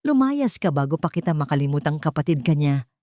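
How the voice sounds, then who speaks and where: A woman's voice: low voice, very animated, a little fast, warm tone, no echo, studio quality. A woman narrator with a Filipino accent voicing a furious, protective widowed father, stern and commanding.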